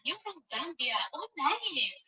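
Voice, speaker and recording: A woman's voice: high voice, sing-song, slow, bright, forceful tone, muffled recording. A woman from Nigeria with a Nigerian accent, chatting casually as a live streamer. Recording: echoey room, distant from the mic.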